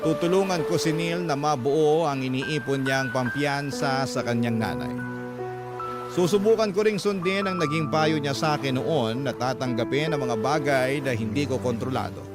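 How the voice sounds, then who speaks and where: A man's voice: medium pitch, normal speed, natural voice. An actor playing a remorseful young man narrating his loss in scripted dramatic style, with a Filipino accent. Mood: remorseful, resolved. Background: prominent music.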